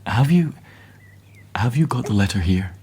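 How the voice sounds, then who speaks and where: An actor playing a gentle, remorseful Victorian husband in a radio drama, speaking tenderly and solemnly. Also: male voice, mid-range voice, lively intonation, normal speed, a little hiss or hum, natural voice. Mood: tender, contrite.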